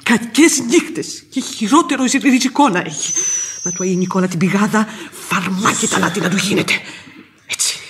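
An actress playing a fiery, superstitious, devoted housekeeper in a radio drama, with a raspy voice. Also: female voice, mid-range voice, noisy background, close to an everyday voice. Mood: cursing.

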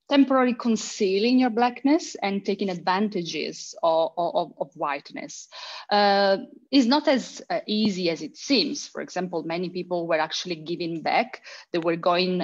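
Low-voiced woman on a video call. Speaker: academic researcher, panel speaker. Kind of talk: explanatory panel talk. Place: indoor room, video call. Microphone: headset on a video call. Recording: dull, lo-fi video call audio.

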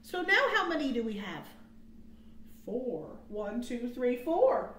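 An American woman in her thirties hosting storytime for young children, with sing-song, drawn-out phrasing, recorded indoors on a webcam mic. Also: on the high side, big pitch swings, fairly bright tone, a little hiss or hum. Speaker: children's librarian, storytime host. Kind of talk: storytime read-aloud and counting rhymes for children. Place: small quiet room indoors. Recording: roomy webcam sound.

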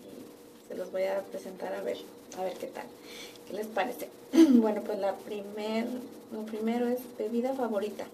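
A young woman from Mexico with a Mexican accent, chatting casually and a little haltingly to camera in her living room. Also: medium pitch, flat delivery. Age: young adult. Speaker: vlogger. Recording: distant mic, noisy room ambience.

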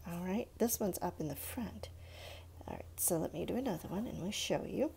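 Woman with creaky, mellow teaching voice. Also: on the low side, animated, moderate pace, a little hiss or hum. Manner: patient, encouraging, talking along while drawing. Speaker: art teacher for children. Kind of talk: casual step-by-step drawing tutorial for children. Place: indoors at a countertop.

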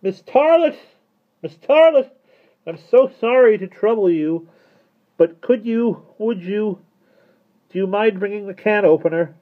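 Man doing a gloomy gentleman, high and mournful. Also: expressive, measured pace, long pauses, phone-quality sound. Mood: timidly pleading. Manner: mournful, hesitant, grumbling. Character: gloomy, apologetic, easily despairing gentleman.

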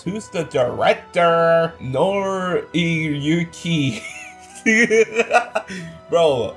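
A man hosting casual anime commentary, blunt and exasperated, swearing often and stumbling over foreign names. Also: a little high. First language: English.

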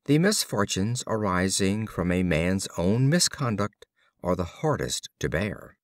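A man reading fables as scripted audiobook storytelling, with measured, deliberate phrasing. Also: low voice, very animated, dry recording, clean studio sound. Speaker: audiobook reader.

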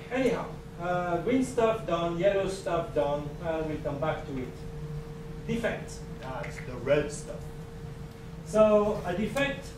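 Middle-aged guy, english not his first language, lively talk at a conference, fairly high voice, roomy distant sound. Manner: animated, gesturing, joking asides. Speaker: conference speaker. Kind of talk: lecture with slides. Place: conference room with audience. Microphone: distant camera mic. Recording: echoey room, distant, noisy.

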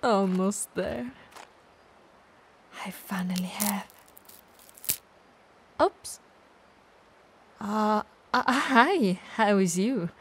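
A woman's voice: medium pitch, extremely slow, long pauses, fairly bright tone, a little hiss or hum. A woman playing a playful, clumsy, bloodthirsty female vampire who mutters theatrically to herself in an ASMR audio roleplay. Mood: excited, sneaky, then flustered.

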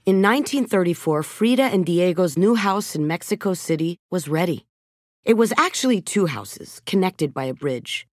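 A middle-aged woman narrating a children's storybook in a gentle, measured way, softening her voice at tender moments. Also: lowish voice, expressive, no echo, clean studio sound. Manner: gentle, measured storytelling with soft dips in volume. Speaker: audiobook narrator. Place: recording studio. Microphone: close studio microphone. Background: background music.

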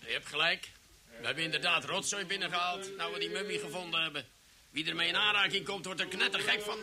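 A man playing a grumpy, impatient and excitable investigator in a vintage radio play. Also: sits high, fast talker, a crowd in the background. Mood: irritated.

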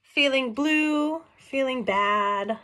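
A middle-aged woman reading a picture book aloud to young children, expressive and questioning, explaining things simply as she goes. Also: sits high, very slow. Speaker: read-along storyteller for young children. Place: small room at home.

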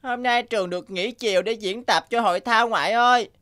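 A woman voices a nine-year-old boy in a cartoon. He is sulky and self-pitying, complaining in a high, whining voice. Faint music sits behind. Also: brisk, fairly bright tone, lightly acted. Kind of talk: cartoon dubbing.

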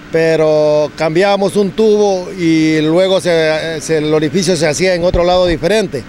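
Halting high-voiced Ecuadorian official outdoors. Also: male voice, darkish tone, slight background noise. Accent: Ecuadorian Spanish. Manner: halting, many fillers and restarts. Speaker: public works director. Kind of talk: on-site news interview. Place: outdoors at a street excavation site. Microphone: handheld reporter mics held close.

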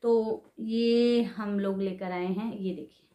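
A woman's voice: medium pitch, steady tone, slow pace, dark tone. Chatty woman vlogger in an echoey room. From Himachal Pradesh, India. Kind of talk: casual shopping haul chat. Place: bedroom at home, indoors. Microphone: camera in front, medium framing.

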